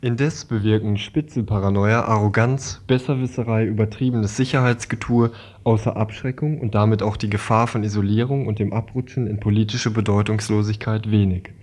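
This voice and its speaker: Male reader, low pitch, scripted delivery of a quoted statement. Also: a little mellow. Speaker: radio reader of a quotation. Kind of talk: scripted reading.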